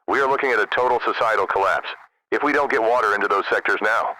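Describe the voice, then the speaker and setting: A man's voice: on the low side, fast talker, sharp tone, no room sound, low-quality recording. A man playing an urgent army general in a scripted crisis drama, with insistent, formal military delivery. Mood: alarmed, insistent. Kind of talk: scripted radio drama dialogue.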